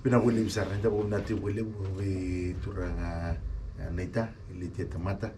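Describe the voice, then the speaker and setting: Male radio host, very low voice, distant mic, noisy. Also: level tone, moderate pace. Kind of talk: radio talk show hosting. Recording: distant mic with clear noise.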